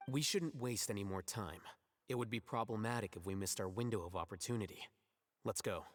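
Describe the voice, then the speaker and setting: An actor playing a composed, brisk, knowledgeable man who guides others in a video game, formal and matter-of-fact. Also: on the low side, lightly acted.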